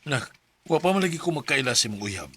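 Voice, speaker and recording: A man with a Cebuano accent playing a gentle, accepting father in a radio drama. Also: medium pitch, sing-song, brisk, breathy edge, no room sound, soft background music. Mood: tender, resigned.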